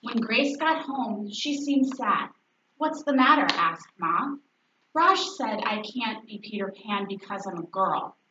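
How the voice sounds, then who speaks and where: Woman storyteller, bright and roomy. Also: fairly high pitch, lively intonation, slow. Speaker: fourth grade teacher. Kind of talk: children's storybook read-aloud with dialogue. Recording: echoey, distant, faintly noisy, dull recording.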